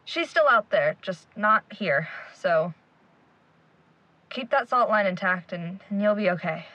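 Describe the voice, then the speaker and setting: A young woman acting a bold, sharp-tongued ghost hunter in an audio drama. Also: mid-range voice, expressive, a little slow, dry recording, muffled recording. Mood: firm, weary.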